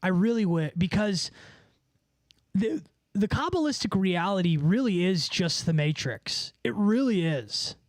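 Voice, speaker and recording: A man's voice: sits high, animated, unhurried, no echo, clean studio sound. A man livestreaming, chatting casually with viewers in a rambling, profane, slangy way. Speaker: livestreamer and musician.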